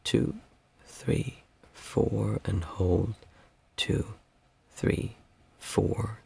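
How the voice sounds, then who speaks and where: A hoarse man with a raspy, worn voice, guiding a group meditation in a calm, unhurried way. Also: fairly low pitch. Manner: calm, unhurried counting of breaths. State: hoarse, losing his voice. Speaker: meditation teacher.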